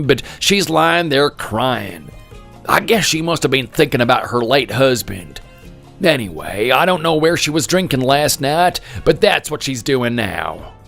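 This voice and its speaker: A man's voice: mid-range voice, creaky, a bit bright. An actor playing a polite male villager who reports trouble in a matter-of-fact way in a drama. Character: apologetic male villager phoning with bad news. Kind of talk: dramatic dialogue.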